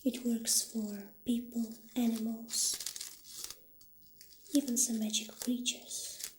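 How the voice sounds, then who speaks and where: Soft, whispery woman in slow ASMR roleplay. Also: fairly high pitch, little pitch movement. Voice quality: soft, breathy, often whispery. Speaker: ASMR performer playing a witch shopkeeper. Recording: roomy echo, audible background noise.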